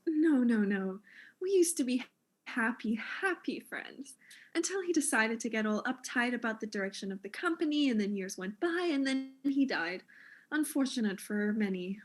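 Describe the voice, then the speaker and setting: Casting: woman as vain fashion mogul for comic audio mystery, higher-pitched, theatrical, condescending, flippant. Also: lively intonation, moderate pace, lightly acted. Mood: haughty, airy.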